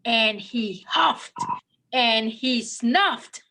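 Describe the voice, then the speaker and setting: A woman's voice: on the high side, extremely slow, bright, forceful tone. A woman narrating a scripted story in reader's theater style.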